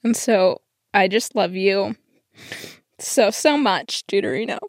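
A woman's voice: medium pitch, expressive, slow, no echo, clean studio sound. A young adult woman, a podcast producer, speaking casually and sincerely in a heartfelt chat.

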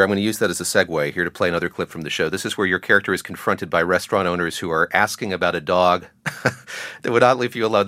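A man's voice: sits low, pushed and bright, no echo, studio quality. A man hosting a broadcast interview, asking questions and introducing clips in a conversational style. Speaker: interview host.

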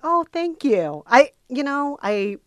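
A woman chatting as an interview guest in a candid, expressive, informal way. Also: sits high, slow, no room sound, clean studio sound. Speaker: podcast co-host being interviewed. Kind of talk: casual chat.

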